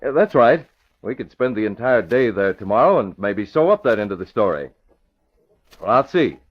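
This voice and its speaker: An actor playing a businesslike, assured man in charge, giving brisk directions in an old radio drama. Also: lowish voice, very animated, slightly rough. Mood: decisive. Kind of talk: 1940s radio drama acting. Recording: dull old radio recording.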